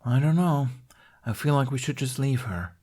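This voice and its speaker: A man's voice: medium pitch, normal speed, dark tone, voice pushed up, close to an everyday voice. A man voicing a sarcastic, reluctant young man with dry, casual, grudging delivery in a story.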